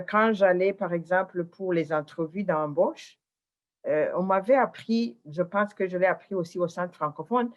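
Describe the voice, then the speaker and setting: A woman's voice: mid-range voice, highs cut off. A woman speaking as a guest on a radio forum discussion, searching for words with frequent fillers. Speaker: panel guest.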